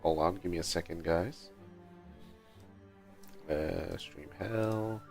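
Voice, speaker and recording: A man giving casual, low-key live commentary as he streams a game, often muttering to himself. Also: slow, gravelly, a little mellow. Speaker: game livestreamer. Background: game music.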